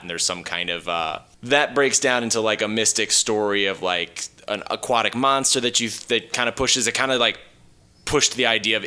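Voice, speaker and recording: A man's voice: deep voice, bright, forceful tone, clean tone. A man co-hosting a podcast, explaining his views on films casually with hedged, loose phrasing. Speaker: podcast co-host. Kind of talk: casual film discussion.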